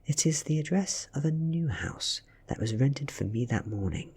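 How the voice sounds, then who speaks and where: A British man reading a story in a soft ASMR style, half-whispered and breathy, with deliberate dramatic pauses. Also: medium pitch, lively intonation. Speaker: audiobook reader.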